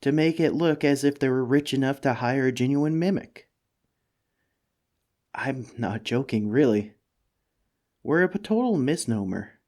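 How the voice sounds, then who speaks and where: A man's voice: medium pitch, lively intonation, measured pace, pauses often, darkish tone, natural voice. A man voicing an anxious, self-deprecating mimic monster living as a talking couch, hesitant and pleading in a comedic ASMR roleplay. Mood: earnest, explaining. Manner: hesitant, pleading, stammering, rambling.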